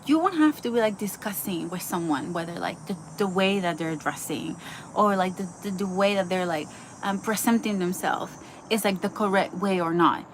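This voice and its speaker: A young woman from the Dominican Republic talks through a devotional lesson like an informal chat. She is earnest and keeps circling back with likes and ums. Her voice sits at an ordinary middle pitch, with a faint hum of room ambience behind her. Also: fairly bright tone, poor audio. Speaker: Bible teacher. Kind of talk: casual devotional teaching.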